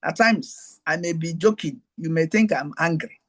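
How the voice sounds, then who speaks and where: A woman with a Nigerian accent hosting her show, giving a teaching talk mixed with personal stories and repeating her main points to listeners on a group call. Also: normal speed. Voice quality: high-pitched. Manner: digressive, repeats key phrases, talks straight to listeners. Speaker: host.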